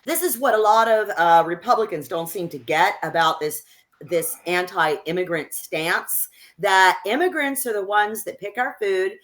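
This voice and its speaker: A woman co-hosting an unscripted political discussion, speaking in an emphatic, opinionated way and halting as she gathers her thoughts. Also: mid-range voice, a bit bright. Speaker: co-host of a political discussion show.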